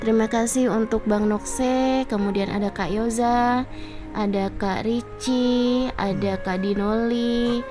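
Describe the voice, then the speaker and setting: A woman's voice: on the high side, fairly even intonation, a little slow, over music. A woman with an Indonesian accent chatting casually and politely as she livestreams a game.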